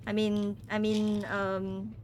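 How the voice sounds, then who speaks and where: A woman's voice: medium pitch, completely flat delivery, takes it slowly, a little mellow. A woman from Malaysia, chatting casually with viewers on a game stream. She giggles often and stumbles over words.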